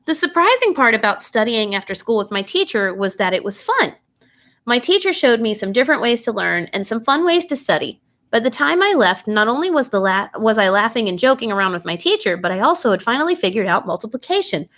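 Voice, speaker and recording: A woman with a fairly high-pitched voice reads a bedtime story for children. Her delivery is expressive and animated, and she speaks directly to her young listeners, asking them questions as she goes. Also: brisk.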